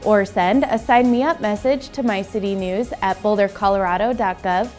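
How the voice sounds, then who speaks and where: A woman news anchor reading a scripted city newscast in a clear, measured way. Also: mid-range voice, a little mellow, soft background music.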